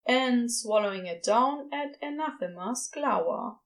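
A woman serves as narrator for a fantasy story. Her voice sits fairly high as she reads scripted prose aloud between the lines of dialogue. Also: lively intonation, measured pace.

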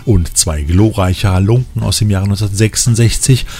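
A man's voice: lowish voice, fairly quick, a little mellow. A man reading scripted narration as a podcast host. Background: background music.

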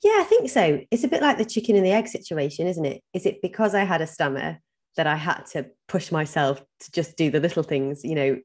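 A woman's voice: sits low, animated, brisk, a little mellow. A woman, talking earnestly in a podcast interview, with frequent ums and some repeated words. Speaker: podcast guest. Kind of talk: reflective interview talk.

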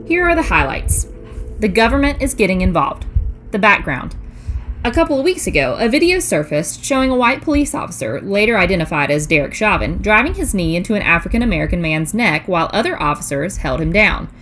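A woman's voice: medium pitch, sing-song, a little fast, fairly bright tone, faint music behind. A woman hosting a scripted news digest podcast in a casual, chatty way with playful asides.

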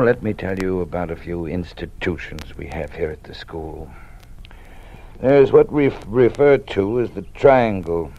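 An actor playing a weary older male college dean who lives in fear, speaking in a measured, quietly warning way in a 1950s radio drama. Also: low-pitched, exaggerated voice, poor audio. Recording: old radio broadcast with faint hiss.

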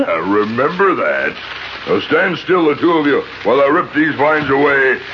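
An actor playing an ugly, sly giant who bargains bluntly and with menace in a 1970s radio drama. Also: male voice, medium pitch, big pitch swings, moderate pace, natural voice. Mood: gruff, offhand. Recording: muffled, hissy vintage radio recording.

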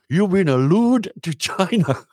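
A man voicing a scolding male heckler who speaks broken English, pitched up. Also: slow, gravelly, dry recording, clean studio sound. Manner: high, mocking, broken English.

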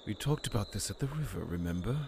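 A low, creaky-voiced younger brother from a fantasy drama, played by a man. He sounds worn out and forgiving, with a stubborn edge. His words come slowly over noisy cricket ambience. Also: lively intonation, a little mellow. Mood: reassuring. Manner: weary, sighing, mildly stubborn. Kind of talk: fantasy audio drama acting.

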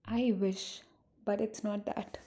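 A woman's voice: medium pitch, little pitch movement, takes it slowly, warm tone, close to an everyday voice. A woman playing a worried, earnest niece burdened by a sad secret in an amateur radio drama. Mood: sorrowful. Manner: earnest, grave.

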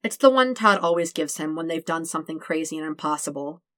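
Low-voiced woman reading fiction, close and dry. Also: lively intonation, fast talker, fairly bright tone, dry recording. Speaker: podfic reader. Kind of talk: scripted fiction narration.

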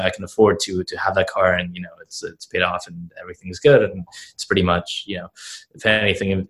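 Casting: 19-year-old man from Russia, very deep and monotone, loose rambling interview delivery. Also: low-quality recording. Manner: rambling, heavy on fillers like "you know" and "right?". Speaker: guest entrepreneur. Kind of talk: casual interview answers.

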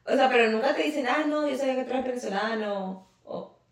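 A woman's voice: high voice, fairly quick, recorded from a distance, a crowd in the background. A woman with a Colombian Caribbean coast accent, co-hosting a casual podcast chat, laughing often and teasing. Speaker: podcast co-host. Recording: echoey room, off-mic.

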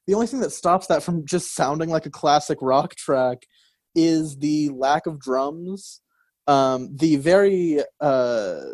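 A man's voice: a little high, darkish tone, no echo. Rambling young man reviewing an album. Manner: rambling, many fillers and restarts. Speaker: college radio co-host. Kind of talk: casual music review chat. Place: radio studio. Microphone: studio mic.

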